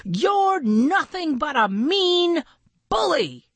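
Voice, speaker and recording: A bold male mallard hero from a storybook, played by a woman. He speaks with stern indignation, his voice raised high and delivered in deliberate, emphatic swings. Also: sing-song, dry recording, heavily acted.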